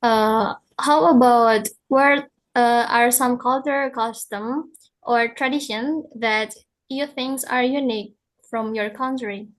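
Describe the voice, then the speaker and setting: A young Indonesian woman, a student, asking interview questions in English in a halting, hesitant way. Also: a little high, fairly even intonation, rough recording. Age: young adult.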